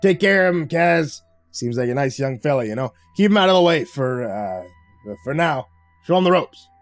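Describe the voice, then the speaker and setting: A man voicing a brash, folksy middle-aged car dealer boss who talks in casual slang with cajoling pauses. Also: a little high, expressive, no room sound, soft background music. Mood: hurried, evasive.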